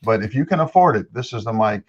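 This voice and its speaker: An older man with a deeper voice, a voice-over actor talking easily as an interview guest into a studio microphone. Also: lively intonation, quick pace, husky, muffled recording. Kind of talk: casual interview chat. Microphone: studio dynamic microphone.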